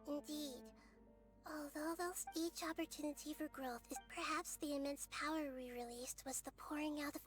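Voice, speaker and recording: A very high, childlike voice: a woman playing a small girl deity from a video game. She is wise, gentle and composed, choosing her words earnestly, with faint music behind. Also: fairly even intonation, close to an everyday voice. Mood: reflective. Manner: thoughtful, measured, earnest.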